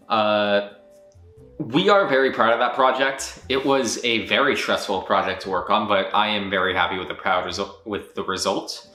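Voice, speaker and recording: A man's voice: lowish voice, bright, forceful tone. Rambling man recapping with roomy echo. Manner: rambling, self-interrupting, jokey asides. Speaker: channel host. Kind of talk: casual year-end recap monologue. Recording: roomy echo with faint music.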